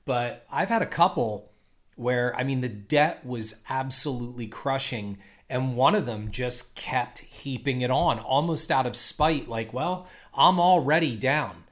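A man co-hosts a casual podcast in an ordinary mid-range voice. He talks in a measured way and explains his points at length, often restarting his sentences. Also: mid-range voice, fairly bright tone. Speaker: podcast co-host.

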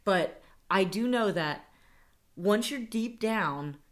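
A woman's voice: medium pitch, lively intonation, takes it slowly. A young adult woman co-hosting a casual podcast, chatty and upbeat, laughing easily between points. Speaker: podcast co-host.

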